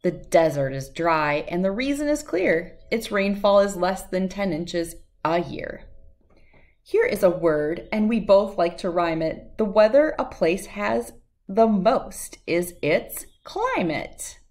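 A middle-aged woman reads a rhyming children's picture book aloud in a measured, sing-song way. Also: mid-range voice, animated, darkish tone.

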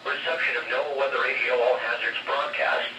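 A man reading a formal, measured, impersonal public service announcement, heard through a weather radio speaker. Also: medium pitch, fairly even intonation, recorded from a distance. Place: played from small weather radios in a home room. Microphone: handheld camera moved among radios. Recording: noisy radio playback in echoey room.

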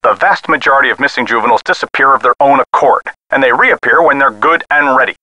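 Game role: skeptical, weary American cop on the phone, mid-pitched, hard-edged, folksy and condescending. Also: male voice, fast, pushed and bright, no room sound, low-quality recording. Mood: patronizing. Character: dismissive, weary male police sergeant, skeptical and patronizing. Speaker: police missing-persons officer. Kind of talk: video game voice acting.

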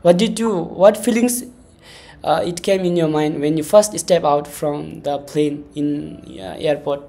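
A man in his twenties hosting a casual interview in a halting, wordy way, often repeating himself. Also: a little high, steady tone, creaky, mellow. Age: 20s. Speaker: podcast host, interviewer.

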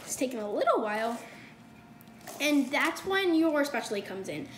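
A woman's voice: sits high, big pitch swings, moderate pace. A young woman hosting a casual home cooking video, chatty and upbeat, with lots of fillers and self-corrections, recorded on a phone in a kitchen. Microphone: phone camera across the counter. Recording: echoey kitchen with noise.

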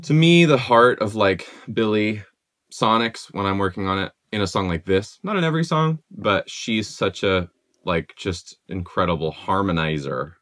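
Low-voiced young man, dry and close. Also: moderate pace, no room sound, soft background music. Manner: relaxed, conversational, many "like" fillers. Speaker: music producer. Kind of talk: explaining a song's production. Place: home music studio with guitars and keyboards.

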